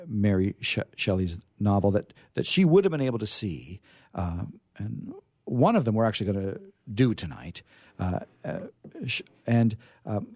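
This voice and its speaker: A man hosting a radio theatre show, chatting casually in a halting, rambling, hesitant way. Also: mid-range voice, a little mellow, no room sound, studio quality.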